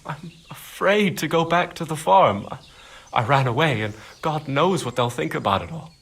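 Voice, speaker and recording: An actor playing a humble, jumpy runaway farmhand in a video game drama, speaking hesitantly and earnestly. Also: male voice, a little high, lively intonation, normal speed, vocal fry, a bit bright, breathy edge, full character voice. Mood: anxious, pleading.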